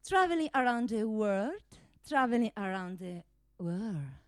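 A woman's voice: medium pitch, big pitch swings, takes it slowly, no room sound, clean studio sound. A woman playing a vain, seductive female pistol, a self-important world traveller in a theatrical monologue that slips into English. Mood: boastful.

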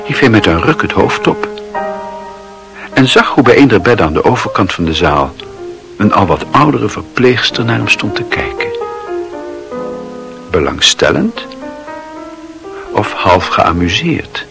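A man narrating a literary radio drama in a measured storytelling manner. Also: lowish voice, sing-song, creaky, heavily acted. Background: music.

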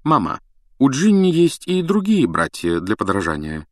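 A man's voice: medium pitch, animated, normal speed, dry recording, clean studio sound, voice pushed up, natural voice. A man voicing a pompous, self-important teenage boy prefect in a storybook, formal and haughty.

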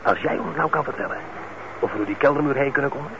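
An actor playing a shady, gruff petty crook who coaxes in underworld slang in a Dutch radio play. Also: male voice, medium pitch, fast, natural voice. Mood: scheming. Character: shady male petty crook pressuring an old accomplice. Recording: muffled, very noisy old radio recording.

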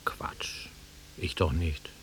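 An actor playing a nostalgic, easily offended middle-aged man with a husky voice in a naturalistic radio drama. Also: extremely slow, a bit bright, in a whisper. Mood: bitter, irritated. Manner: wistful, insistent, easily offended. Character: nostalgic, touchy middle-aged man revisiting his childhood fields.

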